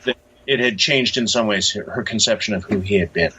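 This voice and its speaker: A man with a husky voice speaking thoughtfully and hesitantly as an interviewed author, over a dull lo-fi line. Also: fairly low pitch, a little fast, pushed and bright. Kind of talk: reflective interview conversation.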